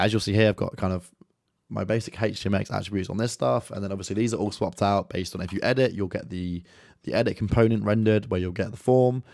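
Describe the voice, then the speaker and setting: A man with a croaky voice from a sore throat, casually walking through his code in a screen-share, loose and conversational with lots of filler words. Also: deep voice, a little mellow, dry recording, clean studio sound.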